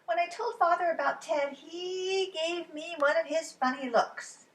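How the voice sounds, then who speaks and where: A woman reading a children's story aloud as expressive storytime narration, animated and with dramatic pauses. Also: very high voice. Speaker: storybook reader.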